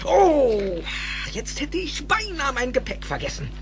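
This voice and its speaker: An actor playing an eccentric, excitable older scientist in a theatrical, exclamatory way, in a German dubbed audio drama. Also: male voice, very high voice, a little slow, full character voice. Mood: excited, absent-minded.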